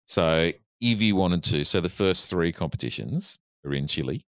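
A man's voice: low voice, lively intonation, normal speed, no room sound, clean studio sound. A man, co-hosting a casual podcast chat in an easygoing way. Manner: easygoing, reads out figures. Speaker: podcast co-host.

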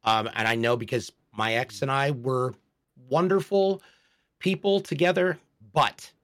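A man's voice: mid-range voice, measured pace. A man in his forties, a podcast co-host, telling a personal story in a candid, reflective way. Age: 40s. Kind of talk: casual chat.

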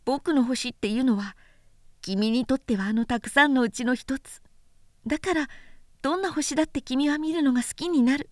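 A woman voicing a small boy prince from a storybook, gentle, wistful and tender, with soft childlike phrasing. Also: high voice, normal speed, no echo, clean studio sound, close to an everyday voice. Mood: tender, earnest. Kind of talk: storybook character voice in a literary recitation.

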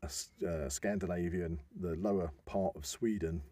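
Low, husky, mellow man explaining things. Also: fairly even intonation, moderate pace, no echo. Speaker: podcast host. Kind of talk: informal explanatory discussion of an old legend.

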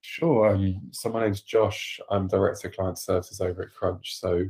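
A man from Wales with a Welsh accent, a guest explaining his work in a relaxed way with some stumbles. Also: deep voice, normal speed. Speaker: guest, agency director. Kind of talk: casual interview talk.

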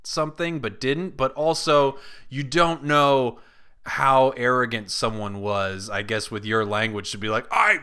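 A man's voice: mid-range voice, steady tone, measured pace, pushed and bright, smooth. A young man hosting a comedy podcast, joking playfully and reading a post aloud. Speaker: podcast host. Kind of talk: casual comedic podcast chat.

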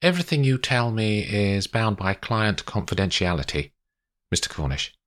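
A man voicing a calm, professional woman lawyer who speaks in a measured, precise and polite way. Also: fairly low pitch, voice pushed up. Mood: careful.

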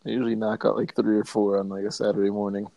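A man's voice: on the low side, little pitch movement, quick pace, creaky, dark tone, no echo. A young man co-hosting a podcast in an easygoing way, often stumbling over his words. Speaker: podcast co-host. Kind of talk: casual chat.

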